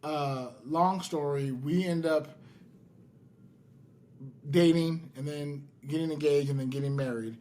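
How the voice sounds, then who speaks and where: Halting, self-deprecating male podcast host. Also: fairly high pitch, mellow. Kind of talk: casual podcast storytelling. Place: in-person podcast recording in Las Vegas.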